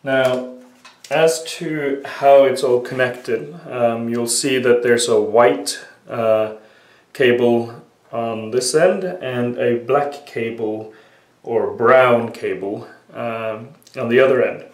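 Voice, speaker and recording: Slow-talking man with a head cold. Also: medium pitch. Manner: unhurried, many "uh" fillers, talking while working with hands. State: sniffly with a cold. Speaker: hobbyist repair tutorial maker. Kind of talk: casual step-by-step repair tutorial. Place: small room at a workbench, indoors. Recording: roomy, distant camera audio with faint noise.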